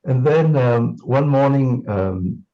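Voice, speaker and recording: A man's voice: medium pitch, lively intonation, slow pace, muffled recording. A man from Singapore reminiscing in an interview, thoughtful and full of restarts and fillers. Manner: thoughtful, repeats words, many fillers.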